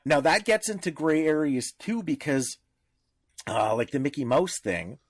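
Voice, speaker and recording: A man with a mid-pitched voice talks informally on a podcast panel. He explains step by step, teaching through examples. The sound is close and dry, with very little room. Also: slightly rough, no echo.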